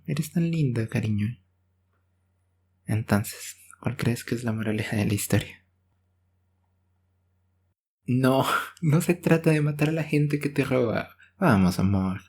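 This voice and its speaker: Breathy man telling bedtime stories. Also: on the low side, a little mellow. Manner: affectionate, soothing boyfriend persona. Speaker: ASMR roleplay performer, storyteller. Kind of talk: intimate ASMR bedtime storytelling.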